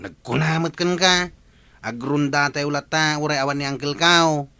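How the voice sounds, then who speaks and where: Casting: bossy little-league patrol chief, radio comedy, Ilocano accent, highish bright voice, pompous. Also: male voice, fairly even intonation, moderate pace, clear, resonant tone, no echo. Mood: casual. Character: self-important village patrol chief bossing his men.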